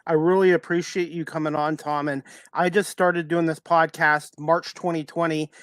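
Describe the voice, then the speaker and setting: Higher-pitched man hosting a casual sports podcast. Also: little pitch movement, normal speed, a bit bright, no room sound, clean studio sound. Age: 50s.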